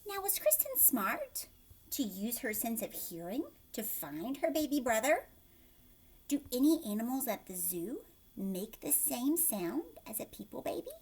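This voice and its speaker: Animated, high-voiced woman reading to children. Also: measured pace, breathy edge. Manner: expressive, addressing young listeners directly. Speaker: teacher reading aloud to children. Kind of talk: children's storybook read-aloud with questions.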